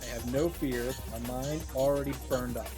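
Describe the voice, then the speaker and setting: Man reading dramatically over loud music. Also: mid-range voice, fairly even intonation, normal speed. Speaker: visual novel reader and commentator. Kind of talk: dramatic read-aloud of first-person story narration with reactions. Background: game music.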